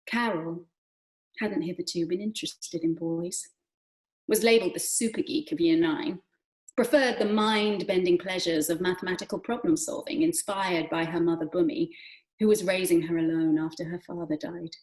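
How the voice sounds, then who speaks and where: Echoey, low-pitched woman reading dramatically. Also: normal speed. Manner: expressive, dramatic, varying from hushed to emphatic. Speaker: actor reading from a novel. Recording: echoey room.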